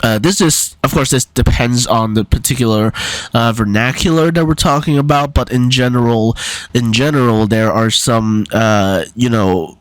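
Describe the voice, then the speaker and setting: A man's voice: medium pitch, dry recording, poor audio. A man talking as an amateur linguist in a casual explainer vlog, hesitant, with many fillers and restarts.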